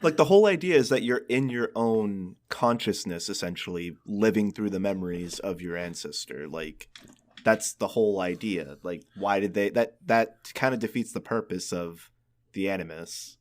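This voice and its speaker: A man's voice: fairly low pitch, animated, moderate pace. A man co-hosting a podcast in casual chat, thinking aloud as he talks. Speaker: podcast co-host.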